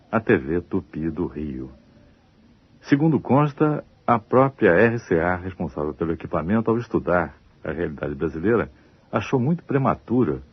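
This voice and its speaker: Very deep, husky Brazilian man. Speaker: radio researcher and broadcaster. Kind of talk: measured expository commentary. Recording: dull, dry old radio recording.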